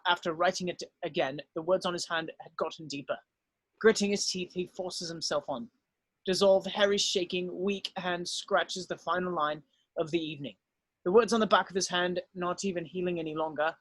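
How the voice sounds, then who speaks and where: A man's voice: sits high, moderate pace, fairly bright tone, no echo. A man narrating, reading screenplay stage directions aloud at a script table read.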